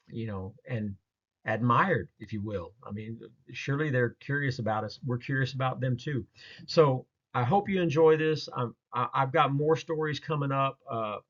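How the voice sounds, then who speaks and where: Male video host, medium pitch, casual rambling storyteller, halting with repeats, slightly dull homemade audio. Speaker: host of Bigfoot research channel. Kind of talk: casual storytelling, explaining pictures to viewers.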